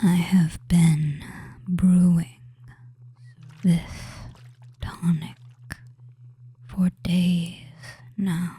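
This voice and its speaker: A person whispering a soothing hypnosis roleplay in ASMR style as a doting, controlling fantasy witch, with drawn-out phrases and pauses. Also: mid-range voice, flat delivery, dark tone, dry recording. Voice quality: breathy, mostly unvoiced whisper.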